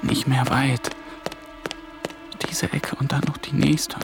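An actor playing an ambitious young man on the run in a radio drama, muttering to himself in breathy fragments. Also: medium pitch, little pitch movement, takes it slowly, music in the background. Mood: exhausted. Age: young adult. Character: ambitious young German intern on the run, panicked, improvising. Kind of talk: radio drama acting with inner monologue.

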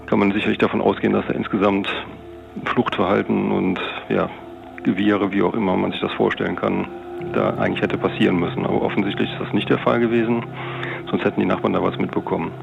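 Middle-aged male investigator, interview recollection, deep-leaning and full of fry, hesitant phrasing, lo-fi sound over a music bed. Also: little pitch movement, quick pace, noisy background. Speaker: police investigator recounting a case. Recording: dull lo-fi sound, music underneath.

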